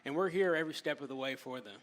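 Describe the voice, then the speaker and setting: A man's voice: medium pitch, animated, racing, fairly bright tone. A man, a marketing executive, answering interview questions with enthusiastic, promotional energy.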